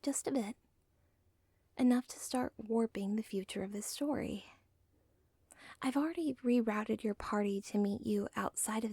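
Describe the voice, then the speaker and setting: A woman playing a villain's lovestruck, self-aware daughter in an ASMR roleplay, whispering softly with a halting, deliberate, intimate delivery. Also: medium pitch, no echo. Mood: conspiratorial, nervous. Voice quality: soft, breathy, whispery.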